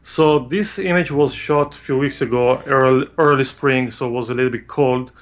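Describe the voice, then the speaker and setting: Italian-accented man, halting non-native delivery, muffled video-call sound. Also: medium pitch. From Italy. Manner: hesitant, frequent "uh" pauses, non-native phrasing. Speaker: guest photographer. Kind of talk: remote interview, casual explanation. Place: home studio.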